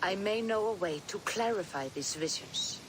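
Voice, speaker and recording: A solemn, mystical seer, as a woman voices her for a video game cutscene. She talks slowly and carefully, in a mid-pitched voice. Game noise hums underneath. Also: lively intonation, lightly acted. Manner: measured, solemn, slow. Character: calm mystical female seer, middle-aged.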